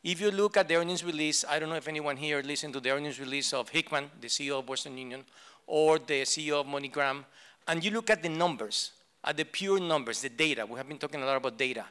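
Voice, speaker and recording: A man speaking on a conference panel, blunt and halting, with many fillers and restarts. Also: on the high side, bright, forceful tone, clear, resonant tone. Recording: live event room.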